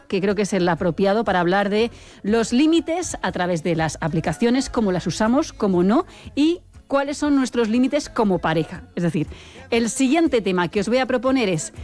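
A woman's voice: medium pitch, darkish tone. A woman with a Castilian Spanish accent hosting an informal talk show. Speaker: host.

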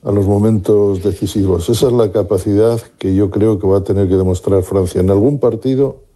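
A man's voice: fairly low pitch, fairly quick, warm tone. A man giving football analysis on sports radio, thinking aloud with frequent restarts. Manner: musing, many restarts and fillers. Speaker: football pundit.

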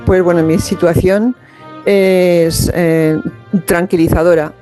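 A woman in her seventies calling in to a radio show, speaking calmly and plainly. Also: lowish voice, slow, faint music behind. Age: 70s. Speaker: caller. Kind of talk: recorded listener message.

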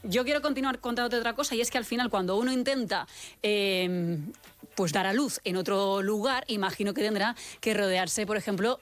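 A woman, hosting a live radio chat in a lively, chatty way. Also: mid-range voice. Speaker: radio host.

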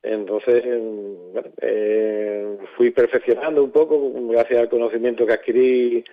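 A man, speaking reflectively as an interview guest, halting as he searches for words. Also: medium pitch, fairly even intonation, narrow, tinny audio. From southern Spain. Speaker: poet and songwriter guest.

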